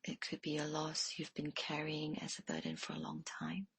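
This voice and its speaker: A woman leading a guided meditation in a soft, hushed way, leaving pauses between phrases. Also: sits low, sing-song, breathy edge, no echo.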